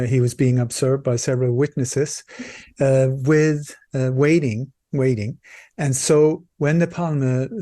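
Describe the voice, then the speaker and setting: Mellow-voiced man from Sweden telling a story. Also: medium pitch, no room sound, studio quality. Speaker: interview guest, researcher and author. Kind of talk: conversational storytelling in an interview.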